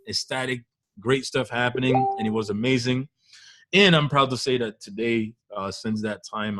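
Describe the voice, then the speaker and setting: A man opens a webinar with his own story, talking loosely and casually. He keeps checking in with a quick "right?" at the end of his sentences. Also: mid-range voice, fairly bright tone. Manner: conversational, keeps adding "right?". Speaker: webinar host and online entrepreneur.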